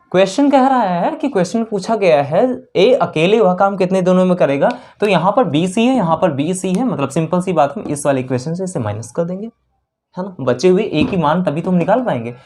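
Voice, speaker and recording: A man's voice: on the high side, a little mellow. A man with a North Indian accent teaches maths step by step, repeating key phrases and often checking in with short questions. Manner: instructive, repetitive, with frequent check-in questions. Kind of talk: lesson explained while solving problems.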